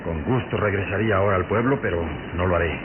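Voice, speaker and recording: Man acting a low-voiced, stern police inspector. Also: fast, close to an everyday voice. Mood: grumbling, resolute. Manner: emphatic, theatrical. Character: stern, determined police inspector, gruffly commanding. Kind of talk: radio drama acting. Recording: noisy, tinny old radio drama recording.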